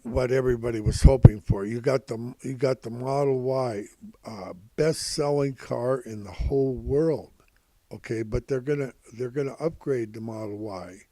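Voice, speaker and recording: An older man giving informal, rambling financial commentary in a halting, repetitive, folksy way, calling himself Grandpa. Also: mid-range voice, mellow, no room sound. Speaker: host of stock prediction videos.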